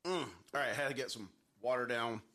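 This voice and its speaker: A man co-hosting a casual live morning show, excitable and jokey, laughing often. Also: medium pitch, fast talker, slight background noise. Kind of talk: casual live-stream chat.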